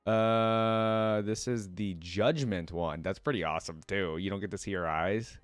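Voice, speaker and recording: Man, lowish pitch, dry sound, casual reaction host, enthusiastic, reads aloud between comments. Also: steady tone, measured pace, clear, resonant tone, no echo.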